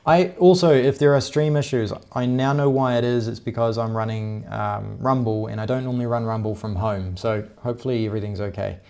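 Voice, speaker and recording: A middle-aged man with a New Zealand accent, chatting casually as co-host of a livestream, relaxed and rambling with many restarts. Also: mid-range voice, fairly quick, vocal fry, few pauses. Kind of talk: casual chat.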